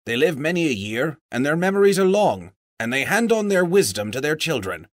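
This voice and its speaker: A man playing a lively, earnest old dwarf. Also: a little high, brisk, no room sound, studio quality, pitched above the performer's own voice. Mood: reminiscing. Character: proud old male dwarf, wary and earnest.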